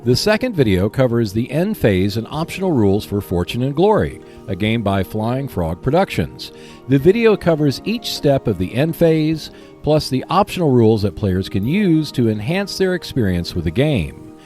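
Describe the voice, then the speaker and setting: A man hosting a hobby podcast, reading scripted announcements in a measured, clearly enunciated way. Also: medium pitch, very animated, a little mellow, soft background music. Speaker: podcast host.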